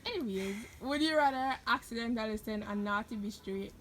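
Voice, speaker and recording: A woman's voice: on the high side, brisk, slight background noise. A woman off camera reading out questions in a plain, matter-of-fact way.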